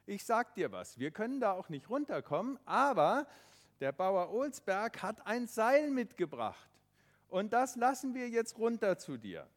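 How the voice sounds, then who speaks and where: A man voicing a steady father who calmly reassures his son and explains how to tie a rope for a rescue. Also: sits high, a little slow, pitched above the performer's own voice. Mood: reassuring. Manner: reassuring, instructing.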